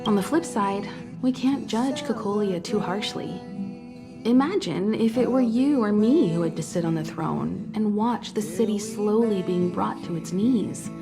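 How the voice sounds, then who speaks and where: A woman's voice: medium pitch, natural voice. Actress as composed, reassuring woman mentor, music behind. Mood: grave, reflective. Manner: poised, reassuring, unhurried explaining. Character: composed, kindly woman, seasoned mentor of space travellers. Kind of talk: video game voice acting, measured dialogue. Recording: background music under studio voice.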